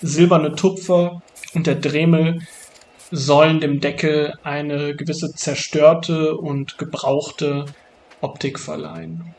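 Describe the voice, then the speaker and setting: Higher-voiced man with a gravelly edge. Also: flat delivery, slow, darkish tone, a little hiss or hum. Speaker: maker narrating a build video. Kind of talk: casual tutorial narration.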